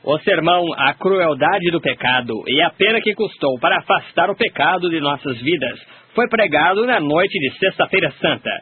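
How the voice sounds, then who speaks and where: A man's voice: a little high, husky. A man steadily reading a translated sermon aloud. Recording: tinny phone-like sound, faint hiss.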